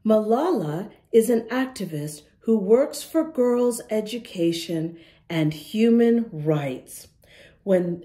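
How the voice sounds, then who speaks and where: A woman hosting a children's storytime, with a very soft-edged, mellow voice that swings widely up and down. She takes her time, phrasing each line deliberately and addressing little listeners in an encouraging way. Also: mid-range voice. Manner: encouraging, addresses young listeners directly with questions. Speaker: children's storytime reader and host. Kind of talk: expressive picture-book read-aloud to young children.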